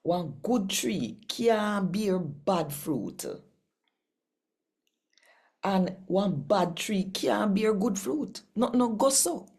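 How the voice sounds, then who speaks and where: A Jamaican woman hosting a daily Bible reading, earnest and unhurried, murmuring agreement to herself. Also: fairly low pitch, darkish tone. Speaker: scripture reader.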